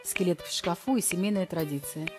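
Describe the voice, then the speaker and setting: A woman hosting a TV dating show, asking brisk, probing questions of the guests. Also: fairly low pitch, music in the background. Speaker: TV show host. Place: TV studio with live audience.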